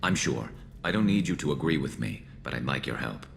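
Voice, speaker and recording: Very deep, gravelly alien vigilante. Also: male voice, big pitch swings, slight background noise, heavily acted. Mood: cold resolve. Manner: measured, dry, brooding. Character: grim alien mercenary vigilante, male, haunted and vengeful. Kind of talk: video game dialogue acting.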